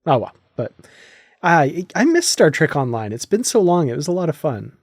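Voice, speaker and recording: A man's voice: on the high side, sing-song, moderate pace, no room sound, studio quality. A man hosting a podcast in a casual, chatty, enthusiastic way. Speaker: podcast host.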